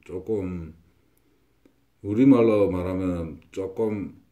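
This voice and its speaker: Low dark-voiced fortune teller guy, says everything twice like it's certain. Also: slow, lo-fi sound. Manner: confident, repetitive pronouncements. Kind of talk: saju fortune consultation.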